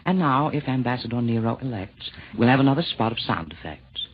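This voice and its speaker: An actor playing a wry, chatty narrator from hell in an old radio comedy, speaking in theatrical rhymes. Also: male voice, medium pitch, lively intonation, fairly quick, slight background noise, lightly acted. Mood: mock formal. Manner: playful, theatrical rhyming asides. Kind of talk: 1940s radio comedy acting. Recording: muffled old-time radio recording.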